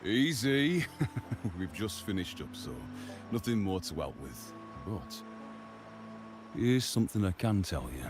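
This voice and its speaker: Deep-voiced, animated gangster henchman. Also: takes it slowly, long pauses. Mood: jovial, encouraging. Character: easygoing senior gangster agent, boastful, friendly. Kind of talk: video game character acting. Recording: game music underneath.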